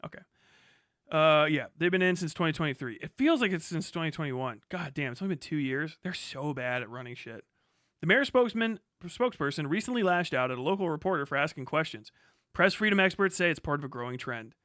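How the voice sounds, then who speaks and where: Bright, high-pitched man, blunt streamer. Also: lively intonation, a little fast, no room sound, studio quality. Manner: blunt, profane, emphatic. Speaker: live streamer commenting on local news. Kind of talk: casual opinionated livestream commentary, reading news aloud.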